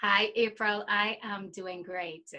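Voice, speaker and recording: Measured, bright-voiced Trinidadian woman. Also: medium pitch, steady tone, muffled recording. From Trinidad and Tobago. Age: 40s. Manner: hesitant, measured, pausing to find words. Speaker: interview guest, life coach. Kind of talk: casual interview answers.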